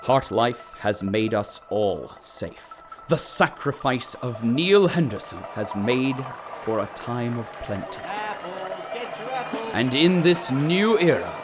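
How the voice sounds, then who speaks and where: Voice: medium pitch, big pitch swings, slow, noisy background. A performer playing a grandiose narrator of a post-apocalyptic sci-fi epic in a dramatic scripted trailer. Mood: grand, ominous. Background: music.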